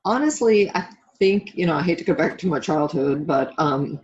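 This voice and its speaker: A woman's voice: sits low, lively intonation, normal speed, darkish tone, slightly rough, lo-fi sound. A middle-aged American woman from the South of the United States talking reflectively about her own life as an interview guest. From southern United States (Florida).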